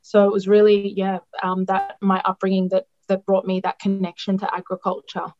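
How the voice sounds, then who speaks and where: A woman's voice: medium pitch, monotone, normal speed, faint music behind. A woman who is a dietitian, her Greek, answering interview questions with many ums, restarts and hesitations. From Australia. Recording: dull lo-fi sound.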